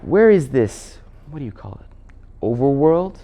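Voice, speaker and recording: A man's voice: medium pitch, big pitch swings, unhurried, dark tone, voice pushed up. A man voicing an imperious witch queen who is sweetly menacing and condescending, with haughty archaic phrasing. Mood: sweetly dismissive. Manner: archaic, haughty phrasing with coaxing mock pity.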